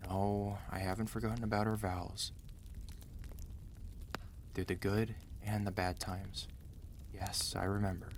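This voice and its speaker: A man playing a protective, gentle but commanding mafia boss husband in an intimate, earnest ASMR romance roleplay, speaking tenderly and steadily to the listener. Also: lowish voice, little pitch movement, unhurried, pauses often, slight background noise. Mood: exasperated.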